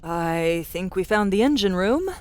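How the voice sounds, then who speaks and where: A woman's voice: mid-range voice, expressive, unhurried, a little mellow, lightly acted. A woman playing a tall, confident, pragmatic young adventurer in a dramatised science fiction audiobook, speaking in a matter-of-fact, dryly assured way. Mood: wry, calm.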